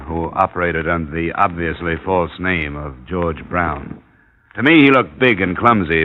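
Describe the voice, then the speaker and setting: An actor playing a blunt, no-nonsense American insurance investigator in a radio crime drama, speaking tersely. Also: male voice, low-pitched, natural voice. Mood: wry. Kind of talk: 1950s radio drama acting, some narration. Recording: muffled, noisy old radio recording.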